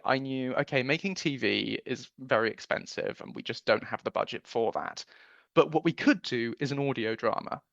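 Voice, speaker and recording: A man's voice: medium pitch, fairly bright tone, no echo, muffled recording. A man giving a conversational radio interview as a guest producer, speaking in an earnest, enthusiastic way.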